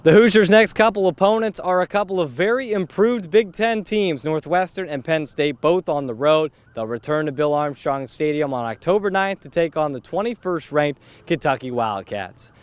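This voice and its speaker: A young male sports reporter with a smooth, fairly high voice. He presents with deliberate, announcer-like phrasing. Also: dry recording. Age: young adult.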